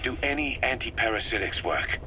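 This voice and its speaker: An actor playing a gruff, guarded military commander in a video game, with terse, clipped phrasing. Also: male voice, medium pitch, animated, fairly quick, sharp tone. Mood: concerned, businesslike. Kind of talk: dramatic video game voice acting. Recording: faintly noisy taped field recording.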